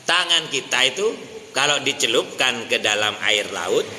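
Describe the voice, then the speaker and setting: A man preaching a sermon, questioning his listeners and chanting verses slowly. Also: medium pitch, animated. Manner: addresses listeners as Pak, asks the crowd questions. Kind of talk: mosque sermon. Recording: hall reverb, faint noise.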